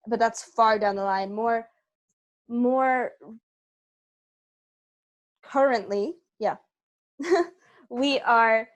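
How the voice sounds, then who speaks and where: A woman speaking casually as a guest in an interview about her creative work. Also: on the high side. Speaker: interview guest, theater company co-founder.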